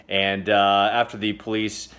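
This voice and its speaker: A man hosting a casual comedic news roundup, chatty and joking, with sudden loud exclamations. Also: on the low side, little pitch movement, measured pace. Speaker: host.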